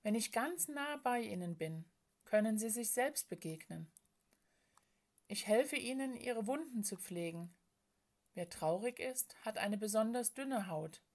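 A woman plays a frail storybook spirit of sorrow, female and bodiless. She speaks in a hushed, plaintive way, timid and tired, as one who feels misunderstood and shunned. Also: mid-range voice, expressive, a little slow. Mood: sorrowful, earnest. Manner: hushed, hesitant, sighing, plaintive.

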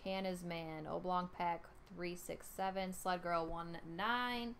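A woman's voice: fairly low pitch, steady tone, takes it slowly, a bit bright, slight background noise. A young woman with a New York accent, chatting casually on a live stream and reading out names in an informal way. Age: young adult. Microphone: headset.

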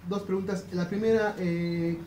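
Woman asking interview questions, warm low voice, echoey room, bit of noise. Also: steady tone, measured pace.

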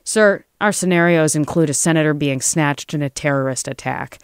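A man's voice: fairly high pitch, normal speed, clear, resonant tone, dry recording, natural voice. A man voicing a stern older male police officer, firm and official, in a thriller story.

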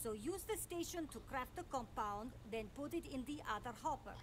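A woman's voice: high-pitched, expressive, moderate pace. An actress playing a no-nonsense woman factory supervisor in a video game, giving curt, clipped instructions. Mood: stern, warning. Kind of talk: video game character dialogue. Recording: noisy game audio under another voice.

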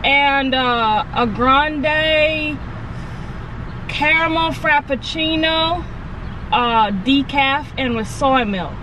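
A woman's voice: sits high, steady tone, takes it slowly, few pauses, recorded from a distance, noisy background, pitched above the performer's own voice. A woman putting on a silly accent to order coffee, speaking in an exaggerated sing-song way with hesitant fillers, from inside a car. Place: car at a drive-thru.

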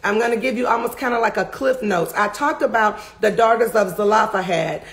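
Woman preacher, raspy gravelly voice, fervent and emphatic, middle-aged, close webcam in a home study. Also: mid-range voice, fairly quick. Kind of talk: sermon recap to camera. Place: home study with bookshelves, indoors.

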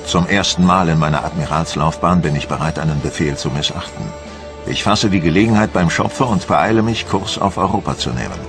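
A low, weighty male voice as a proud, watchful admiral from the Napoleonic wars. He recounts his campaign in measured, formal phrases, like a diary in a radio documentary. Music plays clearly behind him. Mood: resolute. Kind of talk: first-person dramatised documentary narration.

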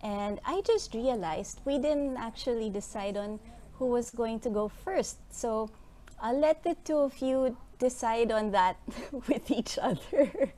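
A middle-aged woman from the Philippines hosting a webinar on a video call, chatty and a little rushed, with fillers. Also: fairly high pitch, warm tone. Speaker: host. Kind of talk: introducing speakers on a webinar. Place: small room indoors. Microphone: video call with earphone mic.

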